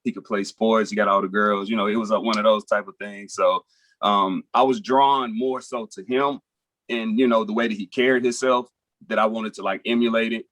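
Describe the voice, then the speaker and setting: Laid-back Nashville rapper, low voice. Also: male voice, steady tone, normal speed, fairly bright tone, dry recording. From Nashville, Tennessee. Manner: laid-back, rambling, frequent fillers like "you know" and "um". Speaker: guest musician, rapper. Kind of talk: casual interview chat.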